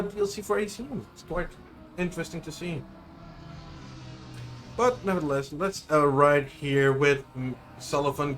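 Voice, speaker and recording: A Dutch-accented man whose voice sits a touch high for a man, commentating a sim race from a home streaming desk. He talks in a loose, rambling way, restarting phrases and fumbling names as he goes. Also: a bit bright. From Netherlands. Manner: chatty, self-correcting, unsure of name pronunciations. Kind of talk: live sim racing commentary.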